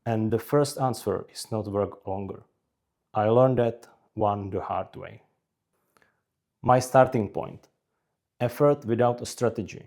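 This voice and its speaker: A man from the Czech Republic with a Czech accent, narrating scripted career advice in measured, deliberate short phrases. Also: lowish voice, gravelly, a little mellow. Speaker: career advice video creator.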